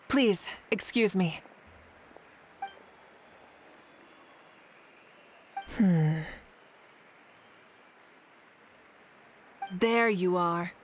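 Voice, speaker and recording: A woman playing a calm, gracious, commanding leader in a video game, speaking formally and with composure. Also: lowish voice, takes it slowly, a little hiss or hum. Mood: pensive.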